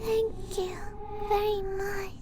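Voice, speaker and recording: A woman's voice: very high-pitched, flat delivery, slow, mellow, soft background music. A woman playing the eerie, wounded ghost of a young girl in an anime horror game fan dub. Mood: grateful, fragile.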